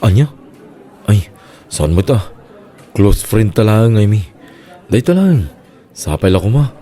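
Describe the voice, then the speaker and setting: A man's voice: on the low side, animated, unhurried, mellow. A man with an Ilocano Filipino accent playing a mild, conversational village everyman in a radio comedy drama. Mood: apologetic, earnest.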